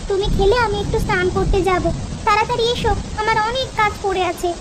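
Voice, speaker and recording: A woman's voice: extremely high pitch, steady tone, quick pace, noisy background. A woman voicing a bossy but caring village housewife in a children's cartoon, fussing in a homely way. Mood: nagging, caring. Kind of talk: children's moral-story cartoon dubbing.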